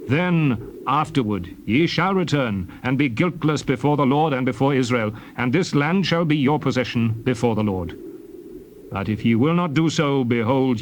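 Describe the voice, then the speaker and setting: A man's voice: mid-range voice, close to an everyday voice. An actor playing a stern, indignant prophet and leader, declaiming solemnly in a dramatized King James Bible. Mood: solemn command. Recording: noisy old cassette tape.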